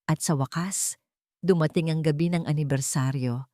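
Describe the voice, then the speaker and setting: Female narrator, Filipino accent, low voice, dry close sound, scripted romance storytelling. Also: fairly even intonation, normal speed, dark tone, dry recording.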